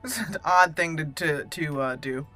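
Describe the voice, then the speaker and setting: A woman's voice: low-pitched, expressive, normal speed, sharp tone. A woman hosting a roleplay video game playthrough, chatting with playful asides and reading dialogue choices aloud over game music. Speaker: gaming video host.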